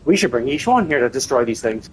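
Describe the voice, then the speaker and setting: A man's voice: medium pitch, sing-song, fast, slight background noise, low-quality recording. A man livestreaming casual game commentary, ranting in an exasperated, emphatic way and swearing freely. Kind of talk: casual gameplay commentary rant.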